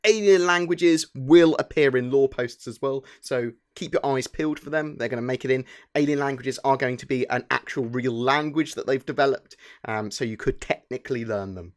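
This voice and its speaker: A man hosts a gaming news roundup at a medium pitch. He talks informally and chattily, moving through semi-scripted items like a channel regular. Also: normal speed.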